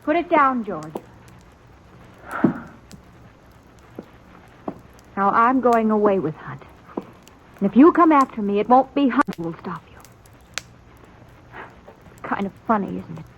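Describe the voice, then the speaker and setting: A woman's voice: medium pitch, very animated, moderate pace, pauses often. A woman with an American accent playing a resolute frontier sister defying her brother in a 1950s radio western, firm, bitter and dramatic. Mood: commanding. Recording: muffled, noisy old radio recording.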